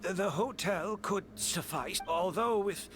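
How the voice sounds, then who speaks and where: An actor playing a remorseful, courteous gentleman in a video game, halting and earnest, sometimes dropping into breathiness. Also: high-pitched, music in the background. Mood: polite pleading. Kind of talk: dramatic video game voice acting.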